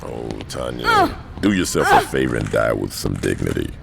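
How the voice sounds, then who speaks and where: An actor playing a weary, cold older gang boss in a video game, gravelly, stern and deadpan. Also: male voice, a bass voice, normal speed, background noise, full character voice.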